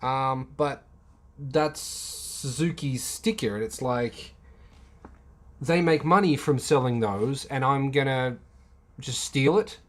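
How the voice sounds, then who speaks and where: A man's voice: mid-range voice, slow pace. A man hosting a casual livestream, rambling and answering chat comments, with many fillers and restarts. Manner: reads and replies to chat comments.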